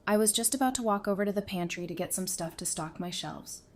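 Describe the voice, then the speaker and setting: A woman playing a playful, sassy, warm-hearted doctor in an audio drama, with an expressive, teasing delivery. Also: medium pitch, fast, close to an everyday voice. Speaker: voice actor in a fan audio drama. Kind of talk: conversational audio drama acting.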